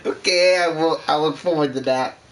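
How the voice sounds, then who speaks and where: A man's voice: on the high side, moderate pace, a bit bright. A man doing a video game walkthrough, commenting and reading the on-screen text aloud. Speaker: gameplay streamer doing a walkthrough. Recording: distant mic with game music behind.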